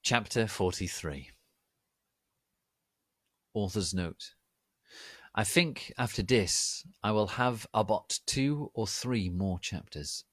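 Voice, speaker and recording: A man giving a theatrical, deliberate, mock-serious dramatic reading of fan fiction. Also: fairly low pitch, no room sound, studio quality. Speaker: reader of online fan fiction.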